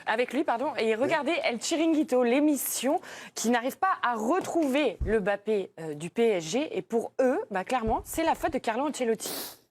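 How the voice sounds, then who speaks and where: A woman's voice: a little high, animated, moderate pace, a little mellow. Woman presenting a football news segment. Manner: conversational with filler words.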